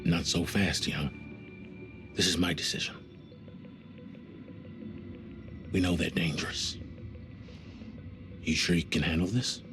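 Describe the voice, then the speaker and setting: A man's voice: low-pitched, steady tone, normal speed, close to an everyday voice. A man playing a stern, decisive spaceship commander in a sci-fi horror game, with a clipped, authoritative delivery. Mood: firm, commanding. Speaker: voice actor in a video game. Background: music.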